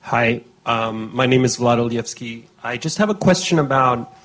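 A man from Romania steps up to an audience microphone with a husky voice. He searches for his words, restarting phrases as he works his way toward the question. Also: mid-range voice, normal speed, a bit bright, rough recording. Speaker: audience member asking a question. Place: conference hall, at an audience microphone.

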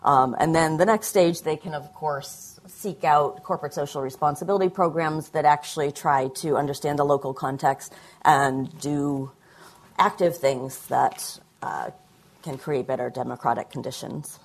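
A woman speaking as a panelist at a public discussion, measured and thoughtful, with frequent ums and you-knows. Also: deep voice, steady tone. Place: conference panel before an audience.

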